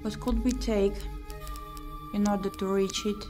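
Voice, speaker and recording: A woman's voice: medium pitch, fairly even intonation, a little slow. Girl streaming a visual novel, reads it out n mutters along, game music in the bg. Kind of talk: game playthrough, reading aloud and musing.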